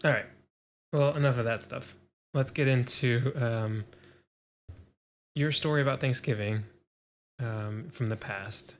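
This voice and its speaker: A young man co-hosting a casual podcast chat, relaxed and laid-back, with lots of fillers and chuckles. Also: mid-range voice, measured pace, long pauses, a little hiss or hum. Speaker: podcast co-host.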